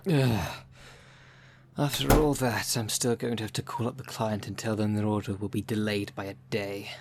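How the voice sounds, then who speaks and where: A man's voice: lowish voice, little pitch movement, normal speed, close to an everyday voice. A man acting as a wry, world-weary city witch in an ASMR audio roleplay, talking in a conversational, sardonic way. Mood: weary, grumbling. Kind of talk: ASMR audio roleplay, one-sided dialogue. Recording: long reverb, noisy background.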